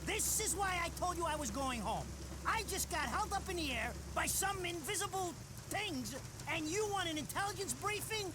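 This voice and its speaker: Whiny New small-time crook, high voice, agitated, griping, foul-mouthed, video game cutscene, loud noise behind. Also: male voice, expressive, moderate pace. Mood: indignant. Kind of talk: cinematic video game voice acting.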